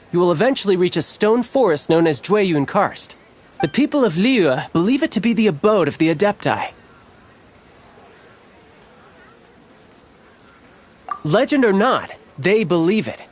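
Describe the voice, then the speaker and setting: An actor playing a suave, playful young envoy in a game cutscene, smooth, teasing and glib. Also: male voice, high-pitched, big pitch swings, normal speed. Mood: smooth, explanatory.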